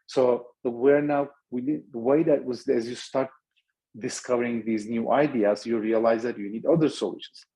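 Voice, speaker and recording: Middle-aged turkish guy rambling through a webinar talk, husky voice. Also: mid-range voice, steady tone, normal speed, dark tone, rough recording. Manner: loose, thinking aloud with many restarts. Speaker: startup founder presenting.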